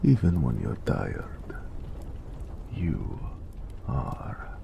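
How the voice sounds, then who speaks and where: Man voicing a very deep, gravelly orc blacksmith. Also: huge pitch swings, drawn-out words, noisy background, exaggerated voice. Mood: tender, flirtatious. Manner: playful, flirtatious, frequent chuckling. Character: big male orc blacksmith, teasing, doting lover. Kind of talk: romantic audio roleplay acting.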